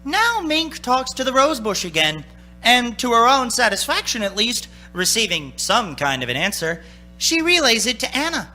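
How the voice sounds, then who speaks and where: An actress playing the storytelling narrator of a radio play, theatrical and expressive. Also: female voice, a little high, moderate pace, faint music behind, full character voice. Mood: wry, lively. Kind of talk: scripted radio drama narration.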